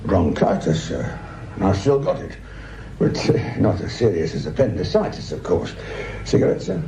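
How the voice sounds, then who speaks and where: A world-weary old English police sergeant in a radio play, sick with a bronchial cough. He grumbles with dry, sardonic humour and a very mobile pitch. There is clear noise behind the voice. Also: sing-song, normal speed, full character voice. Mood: dry, resentful. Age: older. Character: ailing, grumbling old police detective sergeant near retirement. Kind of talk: radio drama acting.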